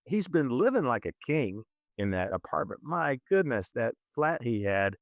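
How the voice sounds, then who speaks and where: A man discussing films conversationally as a podcast host, in a halting, self-correcting way. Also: mid-range voice, big pitch swings, creaky, dry recording. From moved to Zambia at eleven, schooled in Kenya.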